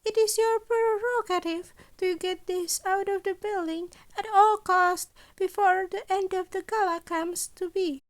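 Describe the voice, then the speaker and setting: Casting: small animal headmaster played by a woman, very high-pitched, formal, deliberate, calculating schemer for an audio story. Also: fairly even intonation. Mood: instructing. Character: small scheming mammal school principal, male, formal and calculating.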